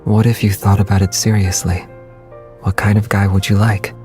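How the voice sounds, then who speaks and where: A low-pitched young romantic lead from a game, played by a man. He is gentle and quietly confident, with dry, understated teasing. Music plays behind him. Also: little pitch movement, normal speed, natural voice. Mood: teasing curiosity.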